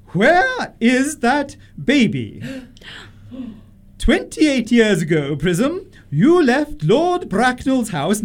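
A woman's voice: on the high side, a little mellow. A woman playing a haughty, commanding older aristocratic lady in a comic radio play. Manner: haughty, commanding, grandly emphatic. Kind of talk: stage comedy radio acting.

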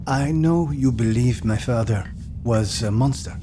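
A man's voice: mid-range voice, a little slow, background noise, natural voice. An actor playing a reflective, solemn man in his eighties, haunted by memories of his father, in an audio drama. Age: 80s. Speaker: storyteller recalling his childhood. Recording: music under the voice.

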